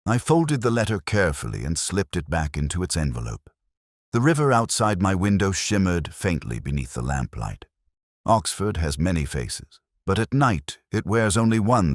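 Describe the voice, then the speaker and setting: A man with an extremely low voice narrates a literary mystery as a brooding, learned inspector. His delivery is solemn and measured, yet the pitch rises and falls expressively. The sound is close and dry, with very little room in it. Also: no room sound. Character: melancholy, erudite male English police inspector narrating his case. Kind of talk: scripted literary detective narration.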